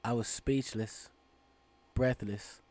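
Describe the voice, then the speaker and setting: A man's voice: medium pitch, fairly even intonation, slow, a little mellow, no echo, close to an everyday voice. An actor playing an eager young student who narrates his own story earnestly in a radio drama. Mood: awed. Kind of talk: scripted first-person radio drama narration.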